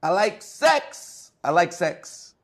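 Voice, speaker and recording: A man's voice: high-pitched, big pitch swings, takes it slowly, a bit bright, exaggerated voice. A man playing a stoned, blunt teenage younger brother in a comic script read. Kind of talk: comic screenplay table read.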